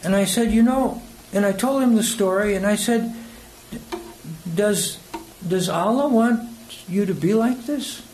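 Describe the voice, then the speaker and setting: A man's voice: sits high, measured pace, darkish tone, rough recording. An older man with an American accent giving a conversational sermon, rambling and hesitant as he tells personal anecdotes. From United States. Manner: hesitant, rambling, chuckles between phrases. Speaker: preacher. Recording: clear background noise.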